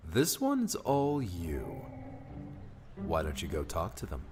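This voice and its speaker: Man as a wry, animated young adventurer. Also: low-pitched, slow pace, noisy background, exaggerated voice. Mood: wry, teasing. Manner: casual, teasing. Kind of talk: audiobook character dialogue.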